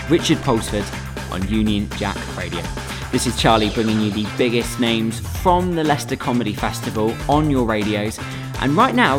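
Radio host, British man, medium pitch, chatty and encouraging interview style, light music.

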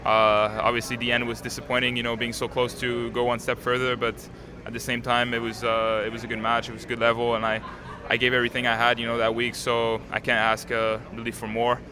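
An eighteen-year-old man from Canada, a native French speaker, answering interview questions outdoors in a calm, composed way with many fillers. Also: medium pitch, fairly quick, hardly any pauses. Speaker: tennis player, interviewee. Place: outdoors at a tennis stadium. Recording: crowd noise behind.

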